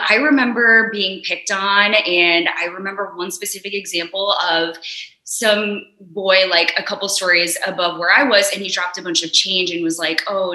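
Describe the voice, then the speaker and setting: Young woman guest, earnest and reflective, bright sharp tone, roomy echo. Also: medium pitch. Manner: earnest, reflective, frequent fillers. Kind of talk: candid conversational discussion.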